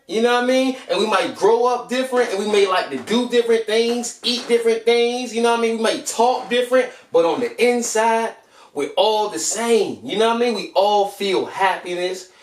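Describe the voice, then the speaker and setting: A man's voice: sits high, echoey room, recorded from a distance. A man hosting children's story time, reading aloud expressively and chatting in an informal, encouraging, slangy way. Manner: informal, encouraging, repeated slangy check-ins. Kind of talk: animated read-aloud storytelling and casual chat to young viewers.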